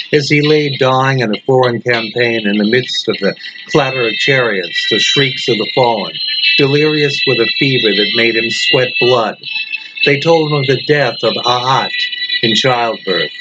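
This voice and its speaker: A man reading a horror story as scripted narration, deliberately, with dramatic pauses. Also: medium pitch. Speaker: story reader. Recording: dull lo-fi recording with loud background noise.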